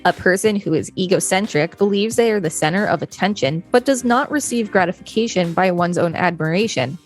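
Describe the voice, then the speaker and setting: Lower-pitched woman reading an article aloud. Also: fairly quick, soft background music. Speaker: podcast co-host reading an encyclopedia article. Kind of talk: read-aloud article narration.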